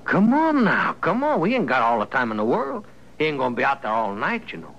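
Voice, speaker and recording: An actor playing a sly, folksy outlaw prisoner in a radio western, coaxing and menacing in a rural American accent. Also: male voice, medium pitch, wildly swooping pitch, fairly quick, fairly bright tone, dull audio. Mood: urgent wheedling. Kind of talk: old-time radio western acting. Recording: noisy vintage radio recording.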